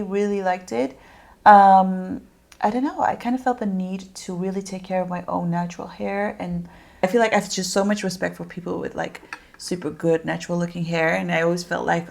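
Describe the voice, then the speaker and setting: A woman's voice: fairly low pitch, creaky. A young woman with a Dutch accent, chatting casually and rambling through a makeup tutorial with lots of fillers. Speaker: beauty vlogger.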